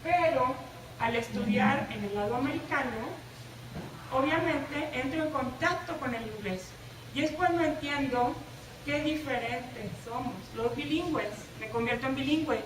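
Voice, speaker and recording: A woman's voice: high voice, unhurried, noisy background. A woman from the Laredo border with a northern Mexican Spanish accent, giving an interactive talk to teachers and often asking them "¿verdad?". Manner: engaging, asks the audience questions, tag "¿verdad?". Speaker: presenter at a teacher workshop. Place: lecture room. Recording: echoey room, from far off.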